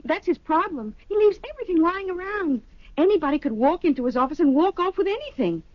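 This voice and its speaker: High-voiced chatty secretary. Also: female voice, expressive, no room sound. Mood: chatty, helpful. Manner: chatty, halting, eager. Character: chatty, helpful, scatterbrained female office secretary. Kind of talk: 1970s radio drama acting. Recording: dull lo-fi old radio recording.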